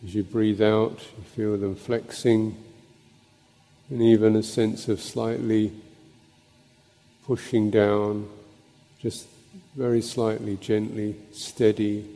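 Very mellow, slow man guiding meditation. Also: on the low side, little pitch movement. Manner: contemplative, halting, long pauses between short phrases. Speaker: Buddhist monk and meditation teacher. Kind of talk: guided meditation and Dhamma talk. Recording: long reverberant hall echo.

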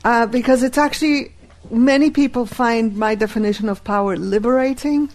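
A woman whose first language is Hebrew, teaching a live workshop and deliberately repeating key phrases. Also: on the high side, darkish tone.

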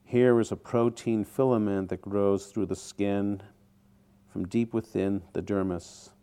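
A man teaching a guided meditation in short, deliberate phrases with pauses between them. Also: lowish voice, steady tone, dark tone, clear, resonant tone.

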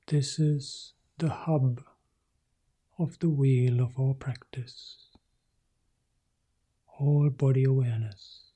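A male monk guiding a meditation in soft, short phrases that often sink into a whisper. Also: mid-range voice, steady tone, slow, long pauses, slightly rough. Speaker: Buddhist monk leading meditation. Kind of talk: guided meditation.

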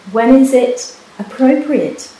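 Brief: Hebrew-speaking woman, meditation teacher, halting, reflective delivery; boomy retreat-hall recording. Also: expressive. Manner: soft-spoken, unhurried, with many pauses and restarts. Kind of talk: dharma talk with personal story. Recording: echoey hall, faint hiss.

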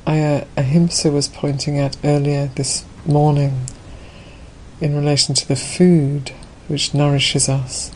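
A woman leading a guided meditation as a Buddhist teacher, unhurried, leaving gentle pauses between her phrases. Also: sits low, level tone, a little hiss or hum, poor audio.

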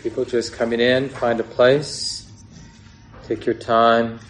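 A man teaching a guided meditation in a gentle, unhurried way, pausing between short phrases. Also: medium pitch, flat delivery, soft background music. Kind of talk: guided meditation instruction, unscripted.

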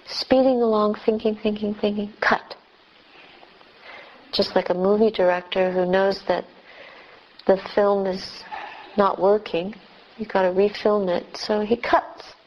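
A woman leading a guided meditation as a teacher, speaking gently and in measured short phrases with long pauses. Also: medium pitch, fairly even intonation, muffled recording, a little hiss or hum. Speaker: meditation teacher, Buddhist nun.

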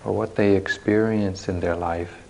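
A man leading a guided meditation as a teacher, speaking in measured short phrases with long pauses, recorded live at a retreat. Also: low-pitched, fairly even intonation, creaky, warm tone, slight background noise, rough recording.

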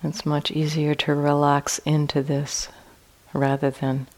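Woman, meditation teacher, very slow pace, calm and gentle, long pauses, guided relaxation instruction. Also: low voice.

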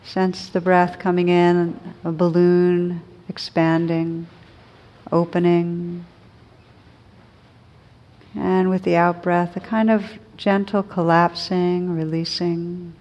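A woman teaching a guided meditation in a gentle, soothing, unhurried manner with long pauses between phrases. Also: on the low side, little pitch movement, highs cut off.

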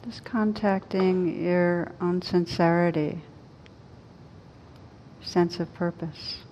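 A woman leads a guided meditation in a low, very even voice. She gives slow, soothing instructions and leaves long pauses between phrases. The recording is dull and lo-fi. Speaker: meditation teacher. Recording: dull lo-fi recording with rumbling background noise.

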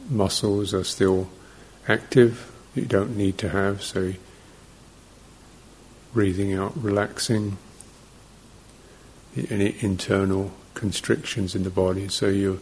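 A man with a lowish voice leads a guided meditation at a very slow pace. He gives gentle instructions in short fragments, leaving long pauses between them. His delivery is very even and flat, over a faint background noise. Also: rough recording. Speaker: meditation teacher.

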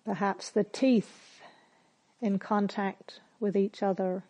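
A woman meditation teacher speaks very slowly in a soft, mellow voice. Her phrases are short and measured, with long pauses between them. The delivery is gentle and quietly instructive, at a medium pitch for a woman. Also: steady tone, highs cut off. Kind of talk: guided meditation, slow and spare with long silences.

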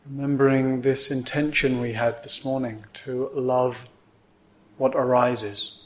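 A man teaching meditation, guiding a sitting in gentle, measured phrases with pauses between them. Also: mid-range voice, level tone. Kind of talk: guided meditation instruction.

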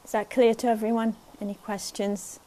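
Woman, meditation teacher at a retreat, gentle and unhurried, keeps checking in with the group. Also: a little high, little pitch movement, slight background noise, rough recording. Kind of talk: guided meditation talk at a retreat.

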